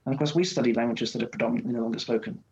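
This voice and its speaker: A man from Wales, a guest scholar talking in a relaxed interview, rambling with lots of restarts and fillers. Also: medium pitch, racing, lo-fi sound, a little hiss or hum. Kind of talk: conversational interview.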